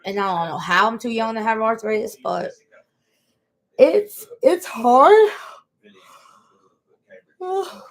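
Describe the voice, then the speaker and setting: A woman's voice: on the high side, animated, takes it slowly, long pauses, rough recording. A woman in her late twenties talking casually to the camera in a personal vlog, rambling, repeating herself and restarting her sentences. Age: 20s. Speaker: vlogger.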